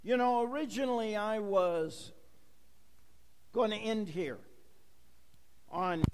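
A middle-aged male pastor preaching in a church, his fairly high voice ringing out through a long, echoing reverb. He takes his time, speaking slowly, and his delivery stays conversational, peppered with asides and rhetorical questions. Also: slight background noise. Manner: conversational, jokes with the congregation, asks rhetorical questions. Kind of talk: sermon. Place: church sanctuary. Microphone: handheld or lapel microphone, recorded for a booth.

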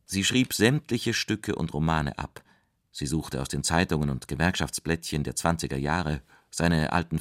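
A man's voice: a bass voice, no echo, clean studio sound. An actor playing a solemn writer reading his weighty recollections of a friend in a radio documentary drama.

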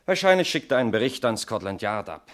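An actor playing a wry, casual brother with a teasing manner, in a German 1960s radio play. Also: male voice, fairly low pitch, sing-song, quick pace, fairly bright tone. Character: wry, relaxed brother sharing suspicions.

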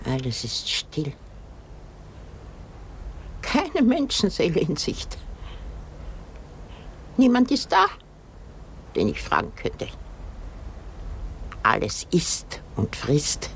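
A weary old wife in a radio drama, contrary and plainspoken. The pitch swoops dramatically through slow, musing lines, with faint noise behind the voice. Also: female voice, huge pitch swings, exaggerated voice. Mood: desolate, musing. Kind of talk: theatrical German radio play acting.

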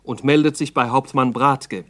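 A man's voice: medium pitch, steady tone, moderate pace, a bit bright. A man narrating a 1960s documentary radio drama in a terse, factual reporting manner.

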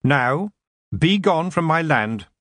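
A man's voice: on the high side, expressive, slow pace, fairly bright tone, no echo, pitched above the performer's own voice. Brief: young witch girl, raised and firm, serious and commanding, as a male narrator puts her on, dry sound. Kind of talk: audiobook character voice.

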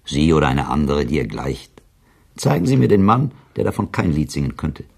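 Cynical, worldly man, radio play. Very low and creaky, dry, skeptical, knowing. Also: expressive, normal speed, a little mellow, heavily acted. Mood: scornful. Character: worldly, cynical male listener commenting on a tale. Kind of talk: German radio play dialogue.

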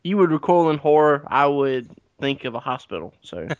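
Deadpan man on a dry podcast mic. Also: fairly high pitch, normal speed, no room sound, dull audio. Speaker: podcast co-host. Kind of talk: casual banter.

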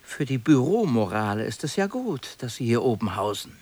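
A man's voice: medium pitch, sing-song, normal speed, darkish tone, breathy edge. A man playing a chatty, fussy older office clerk in a naturalistic radio play, musing and circumspect as he talks. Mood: frank, conciliatory.

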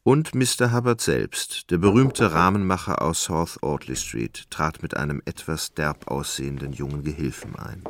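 A man narrating a literary radio drama in a measured, solemn manner. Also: extremely low pitch.

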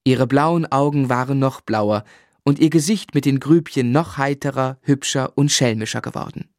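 An actor playing a grown man gently and wistfully recounting his boyhood as a measured radio drama narrator. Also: medium pitch, no room sound, clean studio sound, natural voice. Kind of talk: literary first-person narration in radio drama.

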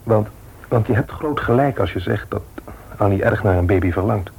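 An actor playing a troubled, reserved married man in a radio drama, speaking in a halting, guarded way. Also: lowish voice, creaky, a little mellow, a little hiss or hum, poor audio. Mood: sorrowful, hesitant.

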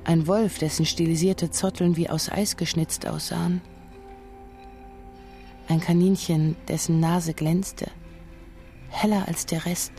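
A woman reading scripted literary narration for a radio play, measured and descriptive in her delivery. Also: lowish voice, steady tone, warm tone, soft background music.

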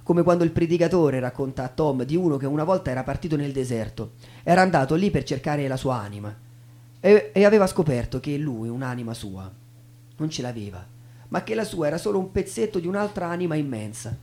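A man's voice: a little high, lively intonation, brisk. A man narrating in a theatrical spoken storytelling style, as in a stage show. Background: soft guitar music.

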